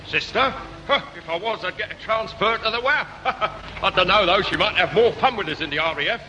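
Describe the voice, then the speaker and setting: A breezy air force police corporal trading jokes in a 1960s radio comedy. His voice is high and extremely bright and piercing. The old broadcast has a long reverb. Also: animated, fairly quick, slight background noise, heavily acted. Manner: joking, breezy banter.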